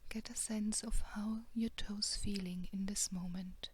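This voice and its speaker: Woman doing a guided meditation, really slow n soft, breathy hushed voice, long pauses. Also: mid-range voice, fairly even intonation. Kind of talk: guided body scan meditation.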